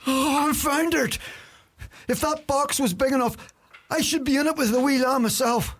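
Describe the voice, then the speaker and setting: An actor playing a scrawny, idle old uncle who grumbles and boasts, with a Donegal Irish accent, in a radio play. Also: male voice, very high voice, steady tone, moderate pace, a bit bright. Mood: complaining. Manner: grumbling, droll.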